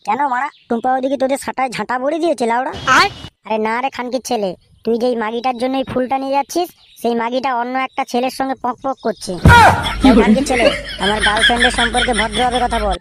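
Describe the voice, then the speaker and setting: A performer voicing a hot-headed, foul-mouthed jilted young man in a comedy cartoon, threatening and shouty. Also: mid-range voice, steady tone, fast, noisy background, close to an everyday voice. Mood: angry, threatening. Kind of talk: adult comedy cartoon voice acting.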